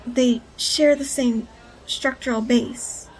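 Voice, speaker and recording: Hunted woman informant, audio drama. Higher pitch, little melodic movement, halting and earnest, background noise. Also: steady tone, breathy edge, low-quality recording. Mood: tense, explaining. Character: frightened, hunted female whistleblower, earnest and urgent.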